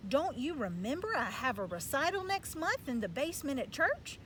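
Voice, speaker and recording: A woman's voice: high voice, sing-song, normal speed, a bit bright, distant mic, voice pushed up. Woman voicing an earnest, polite young boy. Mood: worried. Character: earnest polite young boy who loves his violin. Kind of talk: storybook read-aloud. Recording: clear background noise.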